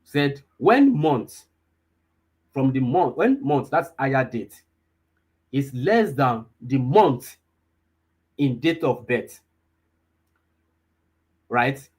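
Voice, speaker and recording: A young man walks a live online class through a lesson, his voice husky and a little rough around the edges. He keeps repeating key points and asking if everyone is following, and his pitch sits squarely in the middle range for a man. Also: sing-song, drawn-out words, long pauses, darkish tone. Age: 20s. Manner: repetitive, checks in with listeners often. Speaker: data analysis instructor.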